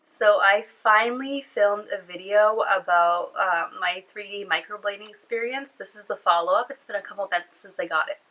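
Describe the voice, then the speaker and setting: A woman's voice: medium pitch, normal speed, few pauses, bright, forceful tone, rough recording. A young woman with a cold-stuffed, nasal voice, chatting casually to camera from home in a rambling vlog full of fillers. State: congested from a cold. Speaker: beauty vlogger. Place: at home indoors.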